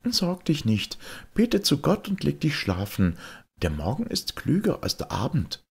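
Man voicing a high-pitched young enchanted wife. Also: wildly swooping pitch, measured pace, gravelly, husky, full character voice. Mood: reassuring. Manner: earnest, instructing. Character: beautiful young enchanted wife, caring and commanding.

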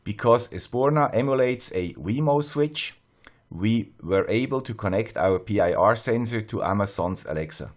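A man with a Swiss accent narrating a scripted tutorial in a measured, plain-spoken, step-by-step way. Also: fairly low pitch, lively intonation. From Switzerland. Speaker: host of an electronics tutorial channel.

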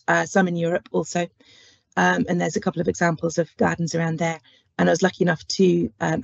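A woman lecturer with a slight cold talks through an online careers presentation, pausing often on ums. Her voice sits low and gravelly, close and dry. The sound is a little dull, like a video call. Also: dry recording. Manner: frequent ums, friendly explanatory. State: has a cold and cough. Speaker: horticulture lecturer and curriculum lead. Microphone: webcam or headset on video call.

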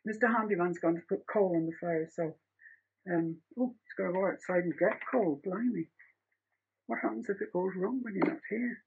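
A woman's voice: fairly low pitch, vocal fry, darkish tone, husky. A middle-aged woman teaching a painting tutorial from her home studio in a chatty, rambling, practical way. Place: home art studio, indoors. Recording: muffled lo-fi home recording.

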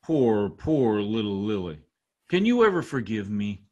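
A man's voice: on the low side, big pitch swings, measured pace, warm tone, lo-fi sound. A middle-aged man playing an anxious, pompous, theatrical husband in old-time radio comedy style. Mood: tender. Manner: dramatic, exclaiming. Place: home office, over video call. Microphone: video call computer mic.

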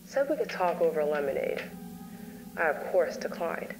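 A woman narrating an analog horror story in a slow, weary, grave voice. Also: sits low, big pitch swings, rough recording. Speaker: narrator, therapist. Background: music.

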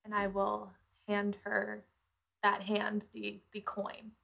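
A woman's voice: medium pitch, completely flat delivery, slow pace, vocal fry, a bit bright. A woman playing a tabletop roleplaying game, chatting casually and saying what her character does.

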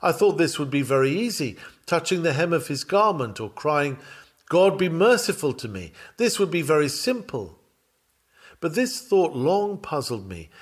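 A man reading a sermon aloud in an earnest, oratorical way, with emphatic, dramatic phrasing. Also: mid-range voice. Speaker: reader of a historical sermon.

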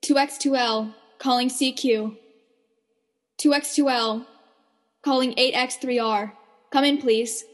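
A woman's voice: high-pitched, fairly even intonation, slow, pauses often. A woman playing an anxious lone radio operator calling out in a radio drama. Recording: long echoing reverb.

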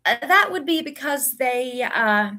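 Woman playing gentle, understated male teacher. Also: mid-range voice, expressive, unhurried, slightly rough, exaggerated voice. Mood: wry, gentle.

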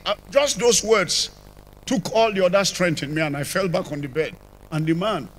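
Older man, Nigerian accent, church apostle, dramatic storytelling, handheld mic, large church hall. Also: high-pitched. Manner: dramatic storytelling, sudden rises and drops in loudness. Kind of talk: testimony sermon. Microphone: handheld microphone.

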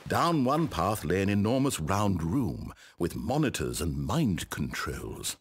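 A man's voice: sits low, sing-song, takes it slowly, breathy edge, exaggerated voice. A man with a British accent playing a wry, condescending, controlling narrator in a game, eloquent and theatrical. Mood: wistful storytelling. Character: wry, exasperated, controlling male storyteller narrator. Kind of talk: theatrical scripted storybook narration.

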